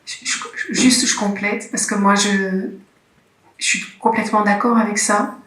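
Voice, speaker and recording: A woman's voice: mid-range voice, steady tone, measured pace, a bit bright. A woman moderating a workshop discussion in a conference room, searching for words with frequent restarts. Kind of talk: hesitant spontaneous moderation. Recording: roomy conference hall sound.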